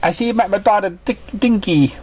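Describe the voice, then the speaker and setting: A motherly winged pony from a cartoon story, acted out by a man, raised well above his natural pitch. The mare is caring and reassuring, gentle yet firm with her words. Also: a little fast, husky. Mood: friendly.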